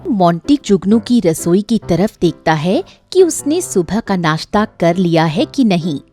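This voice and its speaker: A woman's voice: lowish voice, big pitch swings, unhurried, dark tone. A woman narrating a scripted moral story for children as the storyteller. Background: faint music.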